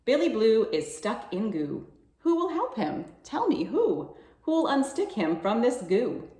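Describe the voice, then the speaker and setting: A woman reads aloud to children with lively, swinging pitch. She takes her time and coaxes listeners with questions, and the room adds noticeable echo. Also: unhurried. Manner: coaxing questions to young listeners. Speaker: children's library storytime reader. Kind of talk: expressive read-aloud for children. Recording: echoey room sound.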